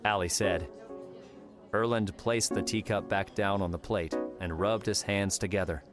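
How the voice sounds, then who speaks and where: A man narrates an audiobook in a very low voice. The reading is scripted storytelling, with clear music running behind it. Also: fairly even intonation, a little slow.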